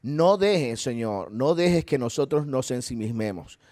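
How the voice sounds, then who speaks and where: A man's voice: mid-range voice, lively intonation, unhurried, dark tone, no room sound, studio quality. A male pastor with a Puerto Rican accent, giving an earnest, conversational church talk and leading a closing prayer. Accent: Puerto Rican Spanish. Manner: earnest, conversational preaching with asides. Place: church hall.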